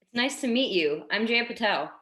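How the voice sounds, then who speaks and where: A woman playing a tactful, apologetic primary schoolteacher in a staged drama reading, hesitant and gently persistent. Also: on the high side, animated, moderate pace. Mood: reassuring. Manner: hesitant, careful, conciliatory.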